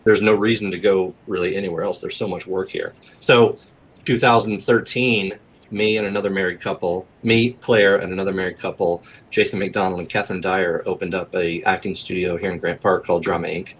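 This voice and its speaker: A man's voice: sits low, steady tone, a little fast, slight background noise. An American man in his forties chatting casually and candidly as an interview guest, rambling a little. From southeastern United States. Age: 40s. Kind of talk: casual autobiographical chat.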